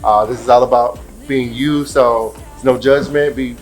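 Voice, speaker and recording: A man organizing an event, welcoming people with a relaxed speech full of fillers. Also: medium pitch, normal speed, faint music behind.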